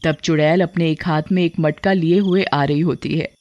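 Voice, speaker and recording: A storyteller narrating a scripted moral horror tale for an animated story. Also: medium pitch, normal speed, dull audio.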